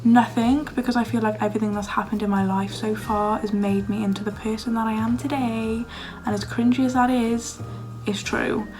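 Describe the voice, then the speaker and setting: A young woman from Wales in her early twenties, chatting casually through viewer questions, her voice a little hoarse and croaky. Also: a little high, steady tone, normal speed, a little mellow, faint music behind, low-quality recording. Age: young adult. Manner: chatty, lots of "like", laughs often. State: slightly hoarse, losing her voice. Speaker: vlogger. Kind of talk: casual Q&A chat. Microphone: phone camera.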